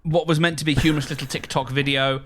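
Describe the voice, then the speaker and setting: A man co-hosting a podcast in casual comedic chat, swearing freely, with halting, stop-start storytelling. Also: a little high, fairly even intonation, a bit bright. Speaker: podcast co-host.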